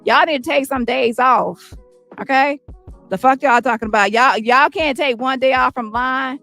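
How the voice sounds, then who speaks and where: A woman streamer ranting with heavy profanity, roasting critics with quick insults in a squeaky voice. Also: creaky, pushed and bright, soft background music. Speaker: commentary streamer. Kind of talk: ranting commentary.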